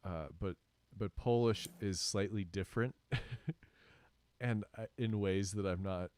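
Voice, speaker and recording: Halting man with a very low voice. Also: darkish tone, dry recording. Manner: halting with frequent laughs. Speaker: podcast cast member. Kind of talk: casual Q&A chat.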